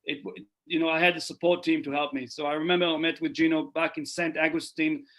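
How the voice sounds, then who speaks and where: Guest role: middle-aged man, earnest, thoughtful repetitions, slightly high voice. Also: little pitch movement, fast talker, fairly bright tone. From Israel. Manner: earnest, repeats phrases while thinking. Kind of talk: casual podcast conversation.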